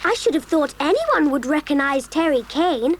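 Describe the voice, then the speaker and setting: Earnest child, very high-pitched. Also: female voice, very animated, normal speed, slight background noise. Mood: matter-of-fact.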